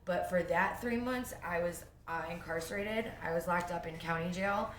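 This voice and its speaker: Low-voiced young woman, rambling and sniffly. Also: moderate pace. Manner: rambling, lots of fillers and self-interruptions. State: sniffly from a cold. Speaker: vlogger sharing her recovery story. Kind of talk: casual first-person storytelling. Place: at home. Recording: echoey room with faint noise.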